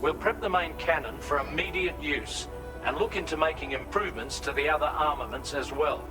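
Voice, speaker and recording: A friendly ship mechanic played by a man in a video game, chummy and upbeat. His voice sits fairly high for a man, with clearly audible music playing behind it. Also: a little fast, natural voice, poor audio. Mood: busy, grateful. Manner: chummy, businesslike, appreciative. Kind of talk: scripted game dialogue.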